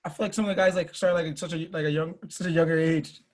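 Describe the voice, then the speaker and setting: A man's voice: on the high side, steady tone, quick pace. A man in his early twenties hosting a podcast, asking casual questions full of "like" fillers. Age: 20s. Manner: filler-heavy, says "like" constantly. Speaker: podcast host.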